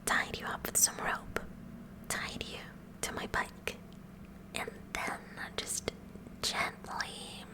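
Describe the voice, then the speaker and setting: A woman's voice: natural voice, low-quality recording. Woman whispering as a kooky science club girl. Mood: smug, matter-of-fact. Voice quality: breathy, unvoiced whisper. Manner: slow, deliberate, mock-official with awkward stammers. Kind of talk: whispered ASMR roleplay.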